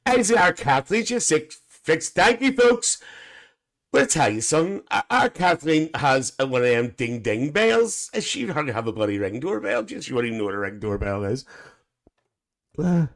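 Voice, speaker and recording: A man's voice: a little high, sing-song, moderate pace, gravelly, fairly bright tone, heavily acted. A man playing a gossipy older aunty who hosts a live stream, chatting bawdily with viewers and calling them dear. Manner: bawdy, gossipy, calls viewers dear, laughs a lot. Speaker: livestream host. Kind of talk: live-stream comic chat reading comments.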